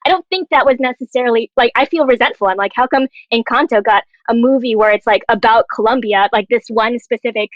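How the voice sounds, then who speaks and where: A woman's voice: fairly high pitch, quick pace, hardly any pauses, no echo, lo-fi sound. A woman chatting casually in a panel discussion, conversational and full of quoted asides.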